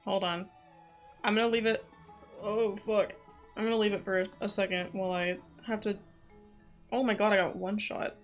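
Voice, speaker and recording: A woman's voice: mid-range voice, fairly even intonation, normal speed, pauses often, muffled recording. Playful woman streaming over game music. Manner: playful, distracted, swears casually. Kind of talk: casual game livestream, reading dialogue aloud.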